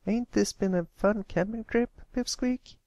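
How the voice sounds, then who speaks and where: A man's voice: high voice, unhurried, creaky, darkish tone, no echo, voice pushed up. A man voicing a cheery, friendly young filly pony with an encouraging manner, in a storybook reading. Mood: cheerful.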